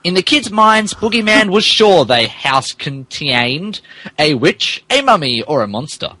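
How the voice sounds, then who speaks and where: Guy podcast host doing mock dramatic reading, very animated, sharp bright voice, amused and trips over words. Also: fairly high pitch, a little slow, no echo. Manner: amused, stumbling over garbled text.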